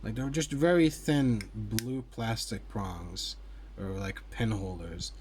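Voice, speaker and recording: A man's voice: on the low side, slow. A man giving a casual hands-on toy review in a rambling, hesitant, conversational way. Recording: clear steady background noise.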